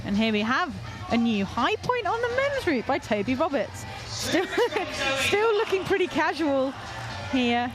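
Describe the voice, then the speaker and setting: A woman's voice: high voice, big pitch swings, unhurried, music in the background, low-quality recording. A young woman from North Wales, commentating a climbing competition live in a chatty, encouraging way.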